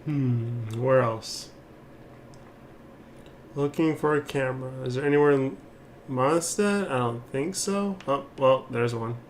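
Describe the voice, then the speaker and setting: A man's voice: medium pitch, takes it slowly, long pauses. A man doing casual gameplay commentary as a streamer, rambling and thinking aloud. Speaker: game streamer. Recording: clear background noise.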